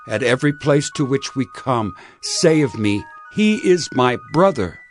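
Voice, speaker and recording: A man's voice: medium pitch, sing-song, measured pace, faint music behind. A man playing an earnest, somewhat defensive patriarch in a dramatized scripture reading. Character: Abraham, earnest patriarch explaining himself.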